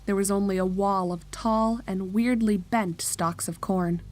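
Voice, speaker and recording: A woman's voice: medium pitch, steady tone, unhurried, darkish tone. Woman narrating a scripted horror story.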